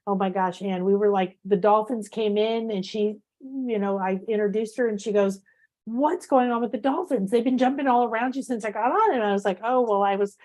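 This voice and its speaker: Animated middle-aged woman host telling stories. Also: mid-range voice, brisk. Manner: animated storyteller, laughs often. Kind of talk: casual spiritual chat show.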